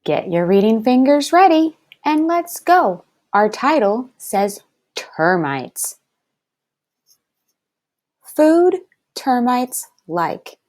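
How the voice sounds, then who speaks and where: A woman teacher reading aloud to young children, enunciating deliberately and addressing them directly in an encouraging way. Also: medium pitch. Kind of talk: slow read-aloud lesson for young children.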